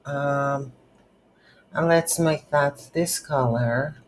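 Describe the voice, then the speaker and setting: A woman hosting a casual game development tutorial, speaking haltingly as she thinks aloud while working. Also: low-pitched, slight background noise. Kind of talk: casual screen-recorded software tutorial.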